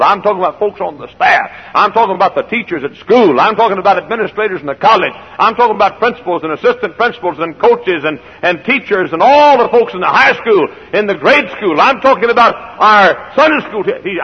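An older man preaching a sermon from the pulpit with a froggy, gravelly voice, shifting between hollered exhortation and quiet storytelling and repeating phrases for emphasis. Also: high voice. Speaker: pastor. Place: large church sanctuary. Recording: dull old recording in a reverberant church.

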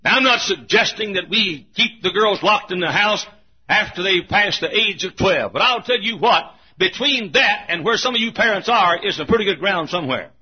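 Fiery older preacher, high and lively. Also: male voice, normal speed, husky. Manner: fiery preaching, swings from hushed to shouting; chuckles through lines. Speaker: pastor. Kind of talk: sermon. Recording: muffled lo-fi recording.

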